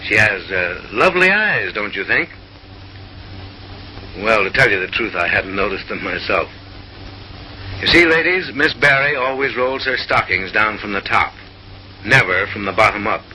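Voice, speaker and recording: A man's voice: fairly low pitch, animated, moderate pace, pauses often, sharp tone, slight background noise, rough recording. A man narrating in a mid-century comic newsreel style, with an arch, mock-refined, theatrical delivery, on a vintage film soundtrack.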